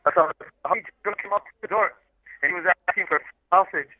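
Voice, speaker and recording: A man's voice: sits high, fairly quick. A talkative man chatting casually over a phone, telling rambling, slangy anecdotes and repeating himself as he goes. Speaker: incarcerated guest calling in by collect call. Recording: muffled, tinny prison phone line.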